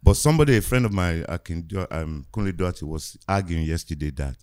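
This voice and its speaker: A man in his sixties from Nigeria speaks in a very low, deep voice. He talks slowly and reflectively, pausing often with fillers as he answers interview questions. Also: expressive, a little mellow, clean tone. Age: 60s. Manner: slow, hesitant, reflective with many fillers. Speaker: guest musician. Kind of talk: casual podcast interview.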